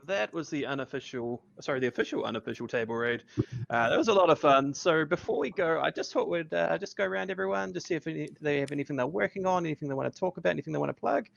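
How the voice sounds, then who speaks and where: Gravelly upbeat man on lo-fi audio. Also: a little high, no echo. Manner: upbeat, chatty. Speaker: podcast host. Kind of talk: fan table read and casual chat. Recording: dull lo-fi audio.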